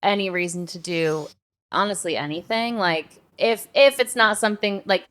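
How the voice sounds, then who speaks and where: A woman's voice: medium pitch, moderate pace, a bit bright. A young woman co-hosting a podcast, chatting casually in an emphatic, colloquial way and repeating words for stress. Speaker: podcast co-host. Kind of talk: casual chat.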